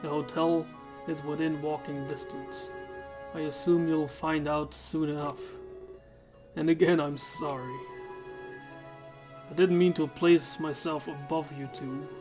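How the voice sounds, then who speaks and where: An apologetic, secretive male guide in a game, read by a Dutch man in his own voice. He talks slowly and earnestly and keeps dodging questions. His voice sits a bit high, and soft music plays behind him. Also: level tone, natural voice.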